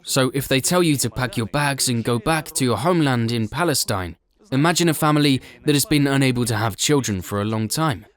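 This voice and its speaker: A man reads a documentary voice-over at a mid pitch. He translates and explains in an even, explanatory way. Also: brisk, hardly any pauses.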